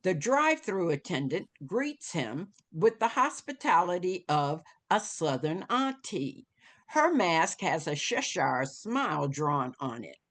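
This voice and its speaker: A woman with a lowish voice narrates a screenplay's stage directions at an unhurried pace. Her pitch moves expressively as she delivers scene headings and action in dramatic storytelling style. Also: very animated.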